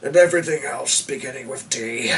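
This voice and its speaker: A man's voice: medium pitch, fairly quick, natural voice. A man as a grumbling, defiant old knight. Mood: grumpy muttering. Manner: grumbling, declamatory. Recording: distant mic with noticeable background noise.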